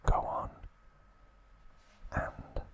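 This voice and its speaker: A man narrating in a soft, unvoiced ASMR whisper, recapping a story with frequent hesitant pauses. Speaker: ASMR channel host.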